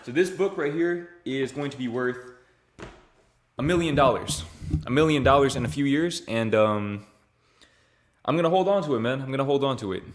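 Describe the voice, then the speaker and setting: A young man answering his viewers' questions in a loose, easygoing way. He speaks at an ordinary, mid-range pitch. His slang and repeated phrases keep it sounding like a chat with a friend. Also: normal speed, pauses often, a little hiss or hum. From Louisiana, raised in Texas. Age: young adult. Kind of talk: casual Q&A vlog.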